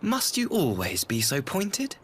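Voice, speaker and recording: An actor playing an earnest, diplomatic imperial idealist in a video game, speaking formally and eloquently in measured phrases. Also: male voice, a little high, wildly swooping pitch, a little hiss or hum. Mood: earnest, persuasive. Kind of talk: video game cutscene acting.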